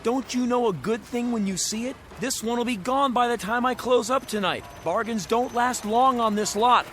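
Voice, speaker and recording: High-pitched, pushy used-car huckster. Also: male voice, moderate pace, a bit bright, a little hiss or hum. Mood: insistent. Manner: bombastic, wheedling, florid sales patter. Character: pushy, glib used-car salesman, a folksy fast-talking huckster. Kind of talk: radio drama acting.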